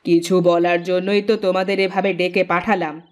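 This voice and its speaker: A woman voicing an older, bossy but indulgent mother-in-law in a fairy-tale cartoon, with a commanding, deliberate manner. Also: fairly low pitch, flat delivery, lightly acted. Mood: stern, bossy. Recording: music behind the voice.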